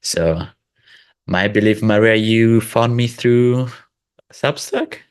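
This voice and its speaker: A man with the flu hosting a casual video call, relaxed and given to laughing and asking thoughtful questions. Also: fairly low pitch, takes it slowly, smooth, no echo. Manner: relaxed, laughs often, asks reflective questions. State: sick with flu. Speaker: host.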